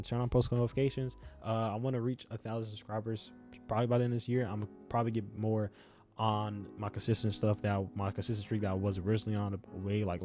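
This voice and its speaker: Young male gaming creator, speech impediment, quick and mellow, slangy, rambling review style over background music. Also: on the low side. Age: young adult. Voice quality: self-described speech impediment. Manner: rambling, slangy, repeats phrases for emphasis. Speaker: YouTube gaming content creator. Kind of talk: casual opinionated game review talk.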